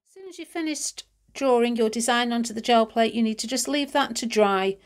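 A woman's voice: a little high, fairly quick, faint music behind. A woman from the UK hosting a craft tutorial, chatting casually with frequent ums, sounding nasal and congested from hay fever. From United Kingdom. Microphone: overhead camera on hands, voice-over.

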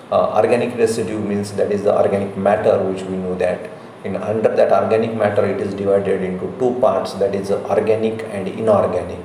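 A middle-aged man with an Indian accent giving a slide lecture as a college professor, speaking in halting, repetitive phrases. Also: fairly low pitch, gravelly, warm tone. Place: indoor room, recorded lecture. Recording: very echoey room, faint noise.

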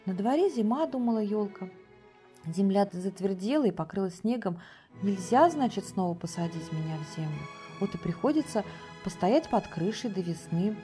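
Expressive middle-aged woman reading a fairy tale. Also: medium pitch, moderate pace, soft background music. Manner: theatrical, lively, softly hushed in quiet passages. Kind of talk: expressive children's story reading. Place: cozy room with bookshelves, in an armchair.

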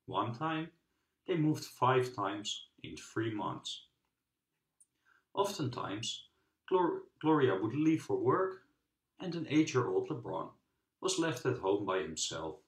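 A man reads a children's storybook aloud in a lively, swooping delivery. He phrases it in measured pieces with dramatic pauses. The room adds a noticeable echo. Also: mid-range voice. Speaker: storybook reader. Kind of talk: children's book read-aloud narration. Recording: roomy, echoing sound.

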